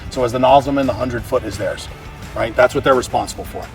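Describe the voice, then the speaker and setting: A man's voice: medium pitch, fast, far from the mic, faint music behind. An American man, a fire chief, explaining equipment in a practical, plain-spoken way during a walk-through. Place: outdoors around a fire truck.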